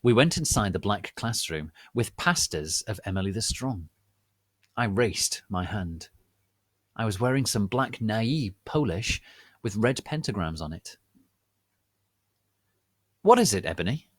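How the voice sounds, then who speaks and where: Slow, theatrical low-voiced male narrator. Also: lively intonation, fairly bright tone, no room sound. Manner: theatrical, deliberate. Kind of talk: dramatic scripted fanfiction reading.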